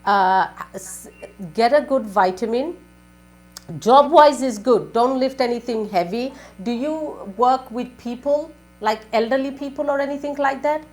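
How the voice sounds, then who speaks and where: A woman with a fairly high voice gives a live call-in consultation as a spiritual advisor. She asks leading questions, then repeats her advice for emphasis. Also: a little slow, a little mellow, soft background music. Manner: repetitive, asking leading questions and giving advice.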